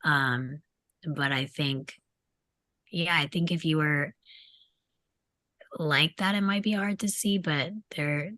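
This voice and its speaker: A woman's voice: low-pitched, slow, long pauses, pushed and bright, no room sound. A woman talking casually as a panelist in a group discussion. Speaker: table read panelist.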